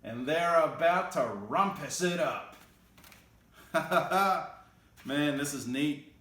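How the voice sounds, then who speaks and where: A man's voice: on the high side, drawn-out words, a bit bright, roomy sound. A man reading a picture book aloud expressively, with playful, casual asides and exclamations as he goes. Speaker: storyteller.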